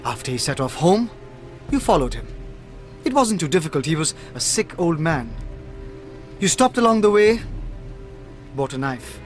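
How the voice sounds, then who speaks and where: An actor with an Indian accent playing a shrewd, self-assured detective in a radio drama, speaking in a measured, deliberate way. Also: male voice, a little high, big pitch swings, soft background music. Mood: probing, accusing.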